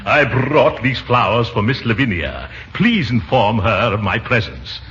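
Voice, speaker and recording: A man's voice: mid-range voice, very animated, measured pace, fairly bright tone, voice pushed down. A man voicing a mean, smug melodrama villain with oily, theatrical delivery, in 1940s radio comedy style. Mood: smooth, formal. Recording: muffled, noisy 1940s radio broadcast.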